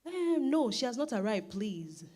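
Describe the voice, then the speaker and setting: A woman's voice: a little high, sing-song, a little slow, dark tone. Polite, hesitant hotel receptionist acted by a woman. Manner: deferential, hesitant.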